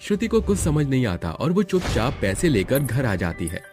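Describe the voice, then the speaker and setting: A man's voice: mid-range voice, sing-song, normal speed, a little mellow, soft background music. A man narrating a scripted moral story as storyteller.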